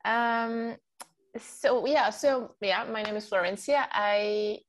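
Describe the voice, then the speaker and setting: A woman's voice: a little high, takes it slowly, a little hiss or hum. Spanish-native woman in her 40s, chatty, lots of ums and restarts. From Mexico. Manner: chatty, many fillers and restarts. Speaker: guest, marine biologist. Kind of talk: casual interview answers.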